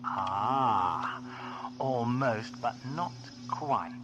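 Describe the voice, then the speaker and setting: An actor playing an eccentric, conceited time traveller in an audio drama, wordy, pompous and drily witty. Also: male voice, medium pitch, very slow, lo-fi sound. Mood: muttering, irritated. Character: eccentric, conceited time-travelling male adventurer, wry and curious. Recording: 1980s amateur audio drama with loud music.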